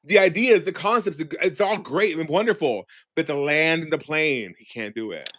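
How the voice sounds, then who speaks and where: A man co-hosting a podcast, chatting in a sassy, slangy, animated way over a video call. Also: fairly high pitch, normal speed. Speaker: podcast co-host. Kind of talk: casual chat.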